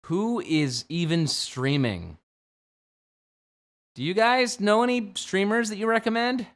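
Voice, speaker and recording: Brief: man in his 20s, game streamer, joking late-night banter, very animated pitch, loud outbursts. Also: takes it slowly. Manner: playful, jokey, swings from mumbling to sudden shouts. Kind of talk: late-night livestream chat.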